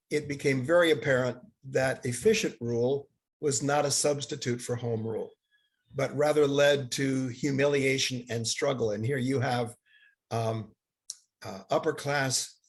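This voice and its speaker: An older man who grew up in Bangladesh, giving a halting seminar lecture over slides, with many fillers and restarts. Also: medium pitch.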